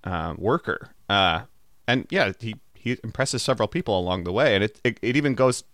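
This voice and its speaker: Lowish-voiced man chatting informally on a podcast. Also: lively intonation, a little fast, fairly bright tone, dry recording, studio quality. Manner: informal, peppered with "like". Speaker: podcast co-host. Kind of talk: casual chat about a TV show.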